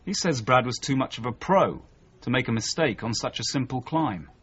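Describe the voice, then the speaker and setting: An actor playing an earnest, understated British detective sergeant in a 1980s radio drama, speaking in a measured, dutiful way. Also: male voice, mid-range voice, lively intonation, a bit bright, breathy edge. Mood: businesslike, reporting. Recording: dull, noisy radio broadcast.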